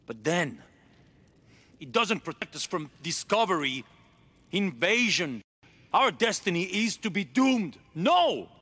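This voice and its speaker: An actor playing a proud, driven middle-aged cult leader in a video game cutscene, intense and grandiose, moving between hushed and declamatory. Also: male voice, high-pitched, sing-song, slow, pauses often, breathy edge, full character voice. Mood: urgent.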